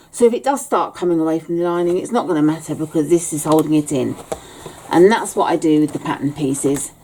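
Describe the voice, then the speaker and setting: A woman teaching a relaxed sewing tutorial at home, chatty, with frequent ums and small self-corrections. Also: fairly low pitch. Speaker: bag maker teaching a sew-along.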